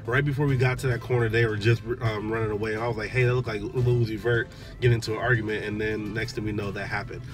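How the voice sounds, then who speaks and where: A man in his thirties chats in a relaxed, rambling way from the seat of a parked car. His voice sits a little low. Music plays clearly behind him on the dashboard camera recording. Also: fast, hardly any pauses. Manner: relaxed, chatty, many "um"s. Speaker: vlogger, father. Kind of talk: casual vlog chat.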